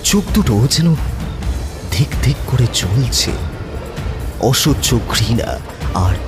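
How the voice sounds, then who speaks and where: A man narrating a horror story in a suspenseful, theatrical manner with dramatic pauses. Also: medium pitch, big pitch swings, over music.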